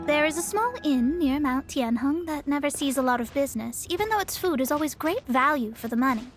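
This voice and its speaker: A woman's voice: high voice, lively intonation, fairly quick. A woman playing a proud, self-assured young female astrologer in a video game, speaking in a lofty, formal way. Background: background music.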